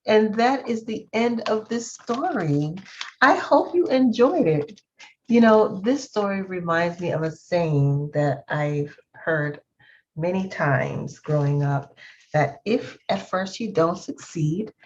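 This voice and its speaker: A woman reading a children's story aloud expressively, with drawn-out emphasis. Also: on the low side, animated, darkish tone, slight background noise. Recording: slightly dull, lo-fi sound.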